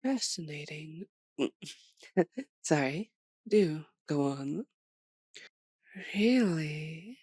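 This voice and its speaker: Woman acting a slow, sadistic, centuries-old vampire. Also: deep voice, lively intonation, a little mellow, slightly rough, no echo, full character voice. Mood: amused, mocking. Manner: theatrical, condescending, drawn-out menacing delivery. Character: centuries-old sadistic female vampire, imprisoned, dominant, mocking. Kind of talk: ASMR roleplay, dramatic monologue.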